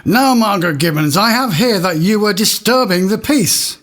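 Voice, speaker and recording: An actor playing a pompous, stern and obtuse judge of a village manor court in a comic audio drama. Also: male voice, sits high, lively intonation, moderate pace, a bit bright. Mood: indignant, pompous.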